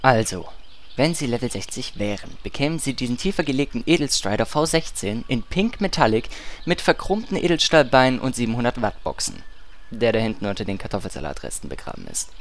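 A man playing a blunt, unimpressed fantasy mount salesman in a comic audio play, deadpan and full of patter. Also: mid-range voice, fairly quick, a little hiss or hum.

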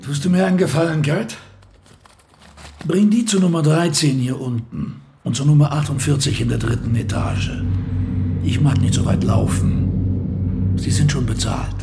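An actor playing a laconic convict who wheels and deals, speaking offhand in a German radio play. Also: male voice, normal speed, creaky, long pauses. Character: laconic, wheeling-dealing male convict cellmate. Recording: loud rumbling noise and clicks.

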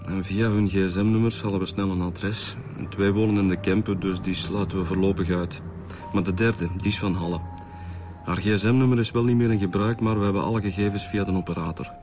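An inquisitive Flemish police detective acted by a man with a fairly low voice, matter-of-fact in radio drama style. Music is clearly heard behind. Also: steady tone, brisk, lightly acted.

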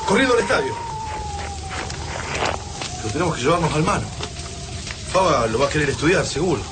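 A man's voice: on the high side, sing-song, fairly quick, noisy background. A man playing an earnest, compassionate human resistance fighter in a radio drama, with an Argentine accent. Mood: urgent, excited.

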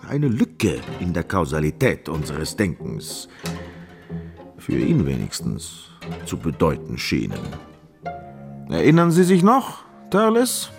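An actor playing a pedantic, self-important mathematics professor in a radio play, speaking in long-winded, formal phrases. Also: male voice, deep voice, big pitch swings, takes it slowly, vocal fry, pauses often, faint music behind, heavily acted. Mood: pedantic, emphatic.

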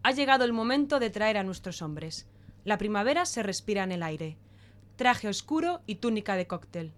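A woman's voice: medium pitch, very animated, moderate pace, close to an everyday voice. A woman playing a domineering, stingy director and head of household in a radio drama, curt and dismissive. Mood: matter-of-fact.

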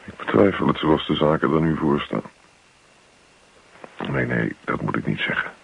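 An actor playing a grumpy, self-pitying husband in a radio play, muttering to himself in a hesitant, put-upon way. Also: male voice, a bass voice, moderate pace, gravelly, slightly rough, heavily acted. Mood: grumbling, straining. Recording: dull lo-fi radio play recording.

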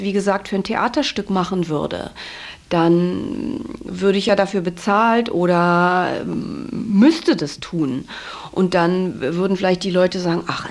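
A woman's voice: on the low side, creaky, darkish tone. A woman talking candidly and reflectively about herself in an interview, feeling her way through her thoughts. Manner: candid, searching, self-examining. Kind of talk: reflective personal interview talk.

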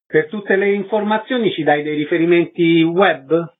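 A man's voice: fairly high pitch, normal speed. A man hosting a radio interview, reading announcements and asking short questions. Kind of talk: scripted announcements and brief questions. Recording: narrow phone-like radio sound.

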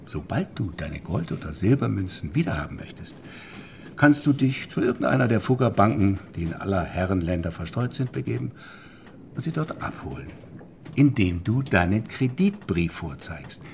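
An actor playing a shrewd, methodical former banker turned forger in a historical German radio drama, explaining deliberately with pointed pauses. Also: male voice, on the low side, big pitch swings, gravelly, a little mellow, a little hiss or hum. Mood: explaining, sly.

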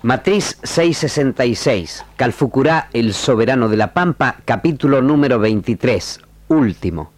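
A man's voice: medium pitch, expressive, normal speed. A man narrating an old radio serial in a solemn, declamatory way. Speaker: announcer and narrator. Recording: old radio archive with loud music.

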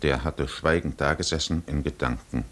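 Deep-voiced, measured male radio narrator. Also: fairly bright tone. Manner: measured, deliberate phrasing with pauses. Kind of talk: literary scripted narration in a 1950s German radio drama.

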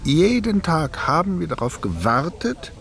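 An older man looking back on his childhood in a radio play. His reflective voice is gravelly and moves animatedly in pitch. There is faint noise behind him. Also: sing-song, a little slow, heavily acted. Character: older man recalling a childhood among survivors' families. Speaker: eyewitness narrator in a radio documentary drama. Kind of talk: reflective first-person recollection, German radio play.

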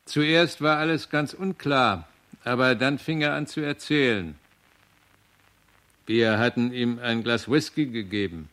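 Sober male commander giving testimony, mid-pitched actor. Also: unhurried, fairly bright tone, close to an everyday voice. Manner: matter-of-fact, reporting. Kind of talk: radio play testimony.

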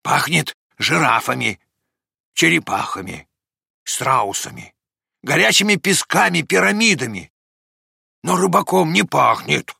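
A man voicing an excitable, urgent female dog in an animated children's storybook reading, exclaiming insistently. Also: fairly high pitch, measured pace, vocal fry, pauses often, husky, dry recording, studio quality, voice pushed up, full character voice. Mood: sniffing, declaring.

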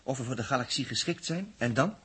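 An actor playing a cocky, crafty space agent, breezy and bossy, in a 1960s science-fiction radio drama. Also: male voice, medium pitch, animated, fast talker, fairly bright tone, breathy edge. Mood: urging, coaxing. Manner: breezy, scornful, coaxing.

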